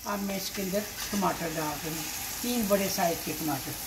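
A woman's voice: lowish voice, moderate pace, noisy background. A woman in her sixties with a Pakistani accent, explaining cooking steps as she works, unscripted, often repeating herself. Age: 60s. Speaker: home cook, cooking show host. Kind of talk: step-by-step cooking instruction while working. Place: home kitchen at the stove, indoors. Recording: echoey kitchen, loud sizzle and pot clatter. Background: sizzling, stirring, clinking pots and dishes.

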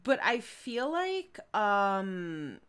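A woman's voice: mid-range voice, sing-song, extremely slow, fairly bright tone, smooth. A young woman from Chicago with a Midwestern accent, chatting casually while streaming a game, rambling with fillers and giggles. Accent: Midwestern American. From Chicago area. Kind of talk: casual live game commentary.

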